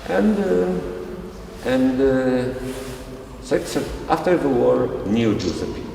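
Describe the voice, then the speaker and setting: A man guiding a tour, speaking haltingly and searching for words, often restarting his sentences. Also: medium pitch, lively intonation, creaky, mellow, a little hiss or hum. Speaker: tour guide. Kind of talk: guided tour talk. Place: large echoing synagogue hall. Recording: echoey hall recorded from far off.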